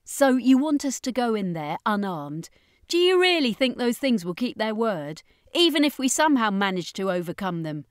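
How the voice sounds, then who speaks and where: A woman's voice: fairly high pitch, sing-song, moderate pace, no echo, clean studio sound, pitched above the performer's own voice. A woman reading a storybook, voicing an insistent, ruthless witch. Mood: argumentative.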